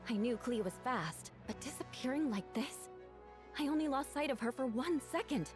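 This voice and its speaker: A woman's voice: high voice, lively intonation, normal speed. A woman voicing an anxious, apologetic young woman in a video game, speaking in an earnest, flustered way. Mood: distressed, guilty. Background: game music.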